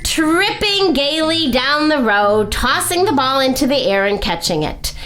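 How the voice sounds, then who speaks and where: A woman reading a children's story aloud as a library storyteller, with expressive, dramatic emphasis. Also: high voice, faint music behind. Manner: animated, unhurried, dramatic emphasis. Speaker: library story-time reader.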